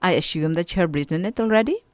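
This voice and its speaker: A woman with a Filipino accent teaching an online radio school lesson, speaking to students in a deliberate, instructive way and putting questions to them. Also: fairly low pitch, animated, no room sound, clean studio sound.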